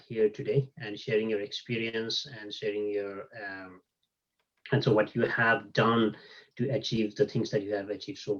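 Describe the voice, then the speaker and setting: Low-voiced male host, dull audio. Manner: hesitant, frequent fillers. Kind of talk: online event moderation.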